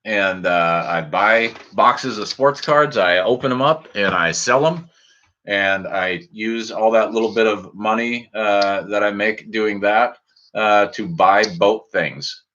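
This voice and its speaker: Low, bright-edged American man on lo-fi audio. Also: slow, a little hiss or hum. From Minnesota and Wisconsin, US. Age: 40s. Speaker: fishing channel host. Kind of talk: casual livestream chat. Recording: dull, lo-fi stream audio.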